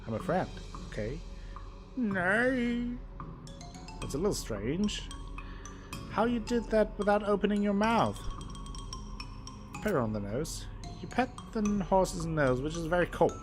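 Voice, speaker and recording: A man's voice: very animated, measured pace, long pauses. A man streaming a game, reading its text aloud and joking between the lines. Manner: playful, reads narration with exaggerated pitch swings. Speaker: gameplay streamer. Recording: music playing underneath.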